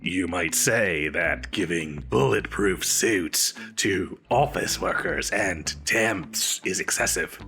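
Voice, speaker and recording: Man playing a deep, pompous, flustered supervillain boss. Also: takes it slowly, few pauses, bright, forceful tone, soft background music, heavily acted. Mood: defensive, justifying. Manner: theatrical, haughty, stammering when defensive. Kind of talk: scripted audio roleplay acting.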